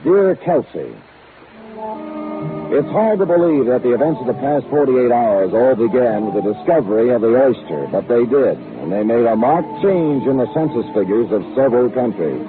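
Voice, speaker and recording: An actor playing a world-weary, wisecracking hardboiled detective with sardonic delivery in 1950s radio noir style. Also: male voice, mid-range voice, animated, normal speed, close to an everyday voice. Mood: sardonic narrating. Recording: tinny 1950s radio broadcast with music.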